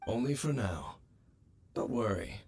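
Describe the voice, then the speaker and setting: An actor playing a calm, knowing swordsman in a video game, speaking in a measured, formal, philosophical manner with a husky voice. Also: lowish voice, heavily acted. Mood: calm, grave. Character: calm, knowing swordsman, grave and cryptic companion. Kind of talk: video game character dialogue.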